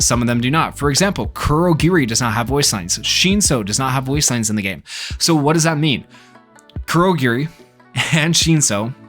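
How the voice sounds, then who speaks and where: A man's voice: mid-range voice, fairly bright tone. Casual, rambling man over background music. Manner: rambling, off-the-cuff, informal. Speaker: gaming YouTuber. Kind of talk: casual video commentary on a game.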